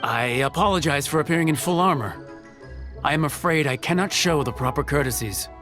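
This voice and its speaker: An actor playing a humble, loyal sergeant of the guard in a video game, formal and respectful. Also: male voice, fairly high pitch, a little fast, lightly acted. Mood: earnest, grateful. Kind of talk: English video game voice acting. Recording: faint music behind the voice.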